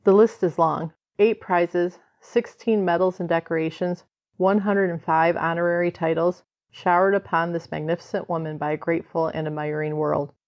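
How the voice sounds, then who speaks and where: An actress playing a solemn, admiring narrator in an old-time radio drama, grave and oratorical. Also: female voice, on the low side, steady tone, normal speed, darkish tone, close to an everyday voice. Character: solemn, admiring woman storyteller of a biography. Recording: slightly dull, band-limited sound.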